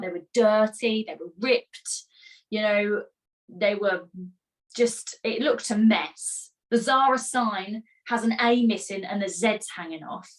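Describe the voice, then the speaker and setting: A woman coaching musical theatre performers in a live online masterclass, energetic and encouraging, chatty with rhetorical questions. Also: medium pitch, poor audio. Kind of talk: live online masterclass, reading chat comments.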